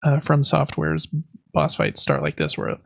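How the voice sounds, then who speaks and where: A man's voice: on the high side, very animated, unhurried, creaky. A man chatting casually as a podcast host. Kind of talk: casual chat about video games.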